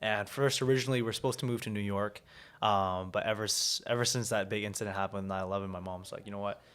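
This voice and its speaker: A young man from South Korea, a podcast guest, talking in a relaxed way with lots of "like" and "um" fillers. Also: on the low side, quick pace, smooth. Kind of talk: casual interview talk.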